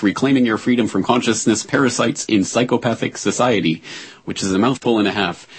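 Deep, husky-voiced male host. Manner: measured, with frequent "uh" fillers. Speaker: interview show host. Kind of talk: talk show monologue and interview.

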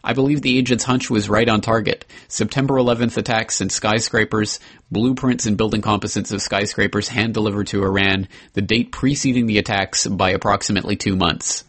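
A man hosting a podcast, reading a memoir excerpt aloud in an earnest, measured way with the odd slip and restart. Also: fairly low pitch, steady tone, a bit bright.

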